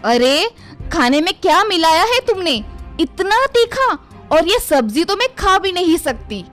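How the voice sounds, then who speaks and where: Woman playing a high-voiced, scolding mother-in-law. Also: very animated, measured pace, a bit bright, soft background music, full character voice. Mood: outraged. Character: scheming, scolding Indian mother-in-law in a family story. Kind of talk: animated Hindi moral story dialogue.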